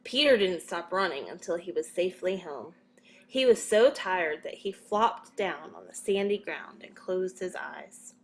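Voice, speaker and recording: Slow-paced woman reading a children's story. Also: on the low side, lively intonation. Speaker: storybook reader. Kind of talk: scripted children's story narration.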